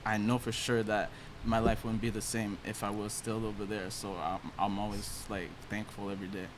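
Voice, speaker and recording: Laid-back young man, slightly low voice. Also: moderate pace, slight background noise. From Haiti. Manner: relaxed storytelling with slang. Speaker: guest musician. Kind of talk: casual interview chat.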